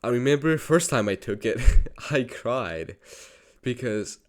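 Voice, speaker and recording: A man's voice: mid-range voice, animated, takes it slowly. A young man from Georgia, a native Georgian speaker, telling a personal story in an earnest, repetitive way with frequent swearing. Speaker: vlogger. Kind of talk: personal confessional monologue.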